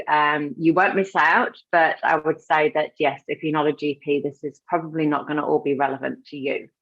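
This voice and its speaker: A woman's voice: deep voice, dull audio. A woman from the UK hosting a live webinar, chatty and full of fillers. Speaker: webinar host.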